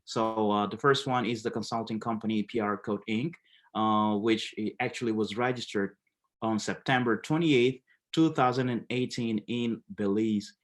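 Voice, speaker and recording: Halting man presenting a webinar. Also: fairly low pitch, fairly even intonation. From Haiti. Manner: many fillers, halting restarts. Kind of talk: live business webinar presentation.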